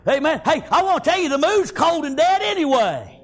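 A man with a Southern US accent preaching an impassioned revivalist sermon, exclaiming praise and often shouting, in stop-and-go phrases full of repeated interjections. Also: extremely high pitch, sing-song, a little fast.

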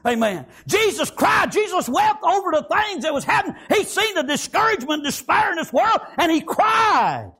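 High-pitched shouting preacher man. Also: sing-song, moderate pace, vocal fry, hardly any pauses. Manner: shouting, rhythmic preaching with frequent "praise God" and "amen". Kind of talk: sermon.

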